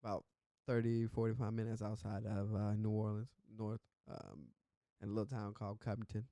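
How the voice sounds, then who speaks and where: Man from Louisiana, smooth mid-pitched voice, dry close sound, playful and teasing. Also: fairly even intonation, brisk, dark tone, no room sound. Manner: playful, laughs and teases. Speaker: podcast guest. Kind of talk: casual chat.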